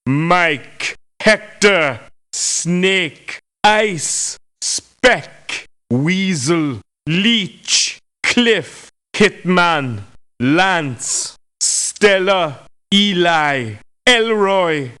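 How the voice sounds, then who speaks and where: A curt video game mercenary. His voice is high and sharp, and he gives clipped phrases very slowly and deliberately. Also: full character voice. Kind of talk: video game character voice lines.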